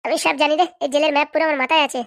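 A woman's voice: high voice, steady tone, rapid-fire, fairly bright tone. A woman voicing a menacing veteran prison boss who taunts and brags like a queen in a comedy cartoon. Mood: taunting, bragging. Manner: taunting, bullying, bragging. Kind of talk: Bengali comedy cartoon dialogue.

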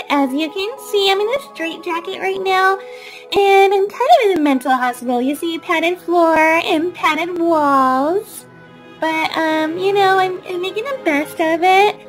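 Woman playing a vain young lady doll, very high voice, dramatic, chatty, cheerful, children's toy story, faint music. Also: measured pace, rough recording. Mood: sheepish, chatty.

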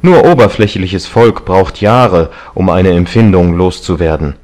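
A man's voice: on the low side, big pitch swings, measured pace, darkish tone. A man voicing a callous young dandy in an audiobook novel, haughty, emphatic and defiant. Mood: indignant, defiant.

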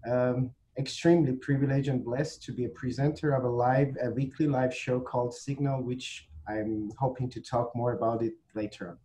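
A man from Iran in his thirties, introducing himself on a video call from a small office, measured and pausing often with fillers. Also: medium pitch, warm tone, rough recording. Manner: measured, with frequent pauses and fillers. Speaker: creative manager and TV presenter. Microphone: video call webcam. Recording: faint background noise on a video call.

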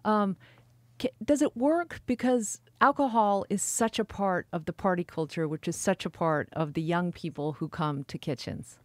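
A woman's voice: fairly low pitch, moderate pace, darkish tone, dry recording. A woman hosting a podcast, asking questions in a conversational interview style.

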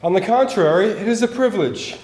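An actor playing a gallant, dutiful English diplomatic courier in a period stage play, formal and courtly. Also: male voice, high-pitched, expressive, a little fast. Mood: courteous. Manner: courtly, formal, clipped replies. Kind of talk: theatrical period stage acting. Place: theater stage, indoors. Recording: echoey stage hall, hissy background noise.

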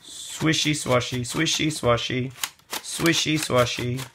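A man reading a children's picture book aloud in an expressive, sing-song way with drawn-out words. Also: mid-range voice, creaky, a little hiss or hum. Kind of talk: animated children's read-aloud.

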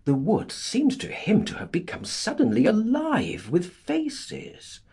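A man narrating a literary audiobook in a theatrical, deliberate, scripted style, with a husky voice. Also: on the high side, darkish tone.

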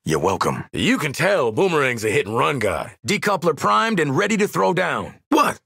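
An actor playing a tough, cocky American hitman in a video game, with a gravelly, husky voice and a clipped, sardonic, commanding delivery. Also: on the high side, wildly swooping pitch, moderate pace, fairly bright tone, dry recording, studio quality, heavily acted. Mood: dry, confident. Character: tough, cocky, no-nonsense hitman and squad soldier. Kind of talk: video game combat barks and banter.

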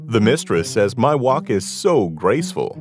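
A man's voice: fairly low pitch, sing-song, measured pace. A man voicing a vain, mocking tomcat in a children's cartoon, boastful and preening. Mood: smug, mocking. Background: music.

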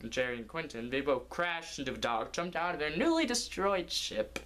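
A man's voice: a little high, sing-song, moderate pace, bright, forceful tone. A young man improvising a comic story as its narrator, recorded indoors in a living room. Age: young adult.

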